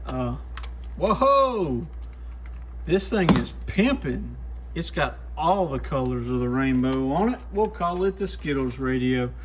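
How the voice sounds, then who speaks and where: A man's voice: medium pitch, big pitch swings, slow, noisy background. A man giving casual hands-on testing commentary as a host, plainspoken and full of folksy slang. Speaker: host, CB radio shop technician testing used radios.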